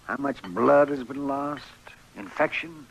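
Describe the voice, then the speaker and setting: A man acting a crusty, talkative frontier doctor in an old-time radio western, musing and teasing in a halting way with an American accent. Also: medium pitch, gravelly. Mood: musing, amused. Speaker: radio drama actor. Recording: noisy vintage radio recording.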